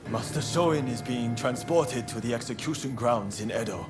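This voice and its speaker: An actor playing an impassioned, devoted young samurai in a dramatic video game cutscene, intense and emotional. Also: male voice, mid-range voice, normal speed, natural voice. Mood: grave. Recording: loud music bed. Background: dramatic music.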